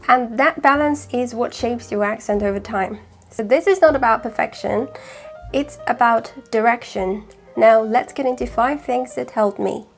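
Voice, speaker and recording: A woman's voice: a little high, normal speed, soft background music. Farsi-native woman with a British accent. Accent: British with slight northern English influence. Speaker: language-learning video host. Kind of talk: scripted talk to camera.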